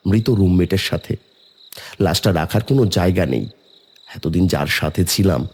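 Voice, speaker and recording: A man's voice: deep voice, darkish tone, breathy edge, full character voice. A man narrating a horror story in the first person as a somber young man, brooding and suspenseful. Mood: mournful, brooding. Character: somber young man recounting an eerie burial.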